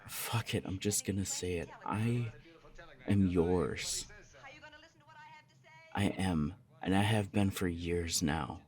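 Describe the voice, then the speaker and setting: A man playing a lovesick, earnest young punk roommate in an intimate ASMR romance roleplay, speaking in a halting way. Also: low voice, darkish tone. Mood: earnest, anguished confession.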